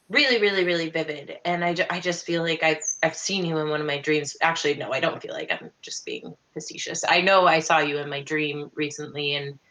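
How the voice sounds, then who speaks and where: Bright-voiced, halting young woman. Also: sits low. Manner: halting, repeats words, rambling. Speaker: tabletop game player. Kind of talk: improvised in-character roleplay over online call. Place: home room on a video call.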